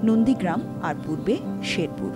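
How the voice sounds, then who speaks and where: Woman narrating over loud music. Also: lowish voice, expressive, brisk. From Bangladesh. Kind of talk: scripted documentary narration.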